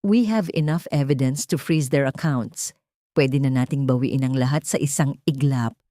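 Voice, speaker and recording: A woman narrator plays a loyal man in a romance audio drama. He speaks with gentle, composed earnestness. The tone is mellow and the sound is dry and close. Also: a bass voice, moderate pace, no room sound, voice pushed down. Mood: confident. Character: calm, devoted male ally in a romance drama.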